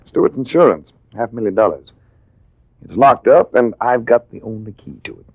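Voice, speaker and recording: A man's voice: mid-range voice, animated, a little fast, gravelly, slightly rough, no room sound. A man acting a suave, hard-boiled adventurer in a 1950s radio drama, with a wry, cool, tough-talking manner. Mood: cool, needling. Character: suave, hard-boiled male adventurer narrating his own case. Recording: muffled, hissy vintage radio recording.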